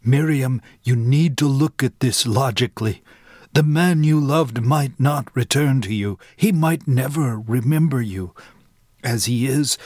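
A man voicing a calm, blunt adult male healer who explains things firmly. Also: mid-range voice, no room sound, clean studio sound, natural voice. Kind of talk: audiobook character reading.

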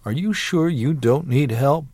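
A man's voice: fairly high pitch, unhurried, dark tone, breathy edge, dry recording, clean studio sound, voice pushed up. A man voicing a polite, patient grown grandson in a story, courteous and a little exasperated. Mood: helpful. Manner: courteous, restrained.

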